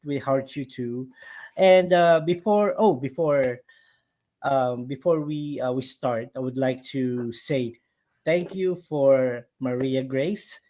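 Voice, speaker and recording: A man from the Philippines with a Filipino accent, hosting a casual live chat show, bubbly and quick to laugh, slipping Tagalog phrases into his English. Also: medium pitch, dark tone. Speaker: host. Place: upstairs room at home.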